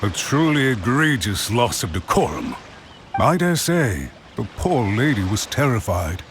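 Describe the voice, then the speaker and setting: An actor playing a smug, scheming clan official in a game, with a sneering, condescending manner. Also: male voice, mid-range voice, very animated, unhurried, fairly bright tone, slight background noise. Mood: dismissive. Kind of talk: game voice acting.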